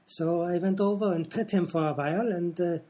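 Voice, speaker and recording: A man's voice: high voice, fairly even intonation, fairly quick, mellow. A man playing a dim, placid, forgetful professor in a comedy radio play, with a muddled, hesitant, guileless manner. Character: dim, amnesiac male professor, placid and literal-minded.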